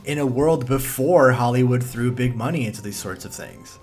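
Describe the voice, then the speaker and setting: A man narrating a scripted video essay in a blunt, profane, opinionated way. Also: medium pitch.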